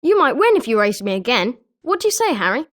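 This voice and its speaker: An eager British schoolboy wizard voiced by a woman for a video game, pitched high. The delivery is chirpy and emphatic, swinging widely in melody, with a friendly competitive edge. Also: very animated, fast. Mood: encouraging. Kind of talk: scripted video game character lines.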